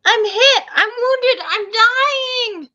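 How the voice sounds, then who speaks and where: A high, piercing young hobbit lad, played by a woman in a fantasy script reading. He is whiny and melodramatic, drawing his words out slowly, on a dull microphone. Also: sharp tone, heavily acted. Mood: panicked wailing. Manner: complaining, melodramatic. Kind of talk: table read of a fantasy script.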